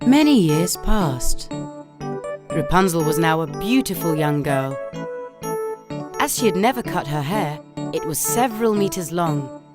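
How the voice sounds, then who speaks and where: A woman narrates a children's fairy tale in a scripted storybook style. Her voice sits a little low for a woman, and music plays prominently behind her throughout. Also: expressive, a little slow, long pauses.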